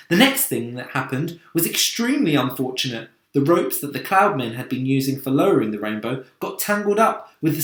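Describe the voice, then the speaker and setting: A man reading a children's story aloud in an expressive, theatrical manner. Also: high voice. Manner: dramatic, theatrical. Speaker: storybook reader, teacher. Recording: roomy echo.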